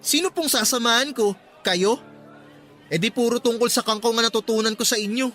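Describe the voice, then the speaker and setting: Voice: mid-range voice, fairly quick, fairly bright tone, soft background music, close to an everyday voice. An actor playing a resentful, whining young son who talks back to his mother in a Tagalog radio drama. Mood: resentful, accusing. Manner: complaining, talks back, sulky.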